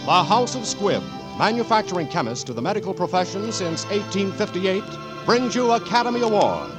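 Old 40s radio announcer guy, high voice, formal and proclaiming, very lively, loud music behind. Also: brisk, low-quality recording. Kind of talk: 1940s sponsored radio announcing.

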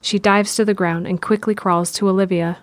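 A woman's voice: mid-range voice, fairly even intonation, moderate pace, no echo, faint music behind. A woman reading scripted narration for an audio drama.

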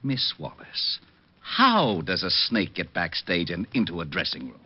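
An actor playing a gruff, exasperated police lieutenant, brusque and suspicious, in a 1950s radio drama. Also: male voice, low-pitched, sing-song, a little slow, full character voice. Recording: muffled vintage radio recording.

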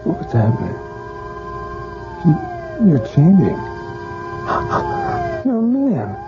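A woman's voice: deep voice, huge pitch swings, slow pace, over music, heavily acted. A woman playing a desperate victim of a devil's bargain in a radio drama. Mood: terrified. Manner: frantic, pleading, then screaming. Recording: lo-fi radio recording with noise.

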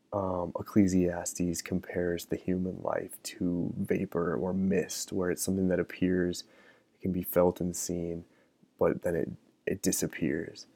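A man hosting a podcast, talking in a thoughtful, musing way with frequent fillers and restarts, close to the mic in a quiet room. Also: low-pitched, creaky. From American Midwest. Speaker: podcast host. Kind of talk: reflective casual monologue.

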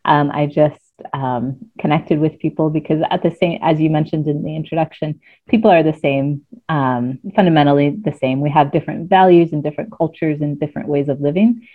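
Woman, low voice, blended American-British accent, hesitant and thoughtful, tinny call audio. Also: steady tone, fairly quick. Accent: mixed American and British. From United States. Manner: thoughtful, hesitant with frequent ums. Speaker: guest, coach. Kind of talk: casual interview chat. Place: at home near Cardiff. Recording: tinny phone-like remote call.

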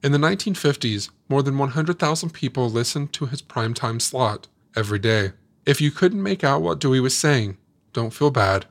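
A man's voice: medium pitch, animated, normal speed, dry recording. A man reading scripted podcast narration as the host, close to the microphone. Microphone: close studio microphone. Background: music.

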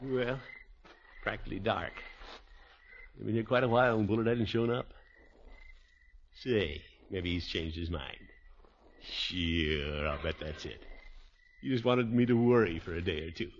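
An actor playing a pompous but soft-hearted middle-aged uncle who blusters and frets theatrically in a 1950s radio comedy. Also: male voice, lowish voice, unhurried, pauses often. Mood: musing. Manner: theatrical, blustering, with mutters and exclamations. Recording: muffled, noisy vintage 1950s radio recording.

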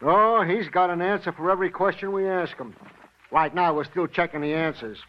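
A man acts out a good-natured, rambling police sergeant with a high voice. He is eager to please his superior in a vintage radio drama. The sound is dull and old. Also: brisk, close to an everyday voice. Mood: eager, obliging. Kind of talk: 1950s radio drama acting.

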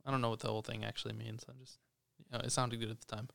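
Gravelly-voiced joking man. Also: mid-range voice, level tone, very fast, fairly bright tone. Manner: joking, boastful, swears freely. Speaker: podcast co-host. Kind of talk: casual podcast chat. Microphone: headphones on, podcast mic.

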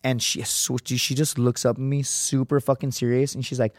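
A man's voice: medium pitch, flat delivery, moderate pace, no echo, studio quality. A man talking as a podcast guest, telling stories in casual chat, swearing freely and acting out what others said. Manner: profane, storytelling, acts out quotes in a raised voice.

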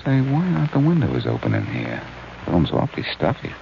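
An actor playing a courteous, quick-witted male detective, smooth and take-charge, in 1940s radio drama style. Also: extremely low pitch, wildly swooping pitch, exaggerated voice. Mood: brisk. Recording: muffled, noisy old-time radio recording.

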